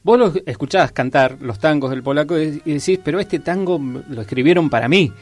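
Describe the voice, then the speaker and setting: An interview guest, a man. He explains eagerly and warmly, and his voice sits slightly high for a man. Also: fairly quick, no echo, soft background music. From Argentina, San Luis and Córdoba. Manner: enthusiastic, explanatory. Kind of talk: conversational interview.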